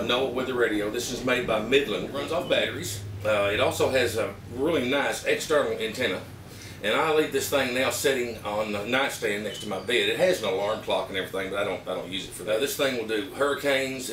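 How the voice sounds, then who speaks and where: A man with an Arkansas Southern accent giving a casual, plain-spoken, halting product review to camera. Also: mid-range voice, a bit bright. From Arkansas, United States. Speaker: vlogger reviewing emergency gear. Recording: roomy, distant mic with background noise.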